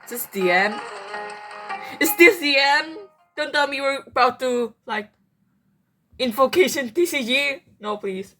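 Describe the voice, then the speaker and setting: A woman's voice: sits high, expressive, a little slow, long pauses, a bit bright. A young woman giving casual gameplay commentary as a streamer, rambling and repeating herself playfully. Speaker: game streamer. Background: game music.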